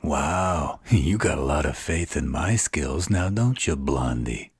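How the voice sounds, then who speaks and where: Guy doing a snarky supernatural fighter, slow mocking drawl, super raspy, roleplay audio. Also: a bass voice, expressive, no room sound, clean studio sound, exaggerated voice. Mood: wry. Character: snarky, distrustful supernatural male fighter, gruff and sardonic.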